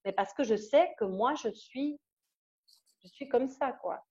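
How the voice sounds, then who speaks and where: A woman from Switzerland with a Swiss French accent, giving an enthusiastic, halting testimonial full of fillers and restarts. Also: high voice, darkish tone, breathy edge, dull audio. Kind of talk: casual testimonial interview.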